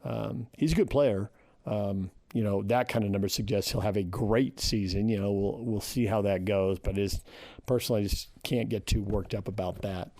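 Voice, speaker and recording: A man's voice: on the low side, steady tone, moderate pace, a little mellow, dry recording. An American man hosting a sports radio show, talking casually and thinking aloud in short phrases.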